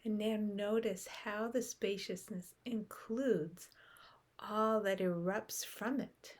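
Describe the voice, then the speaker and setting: A woman guiding a meditation in a soft, unhurried way with long pauses, her breathy voice often trailing off into a whisper. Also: mid-range voice. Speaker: meditation guide. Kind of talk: guided meditation.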